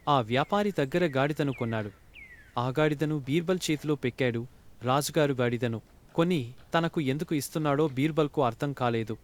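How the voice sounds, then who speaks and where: A man narrating a scripted folk tale as a storyteller. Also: mid-range voice.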